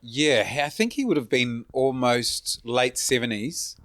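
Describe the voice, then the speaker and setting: A man's voice: medium pitch, unhurried, a bit bright, dry recording. A man who is a stand-up comedian, a guest in casual chat, making dry quips and swearing casually.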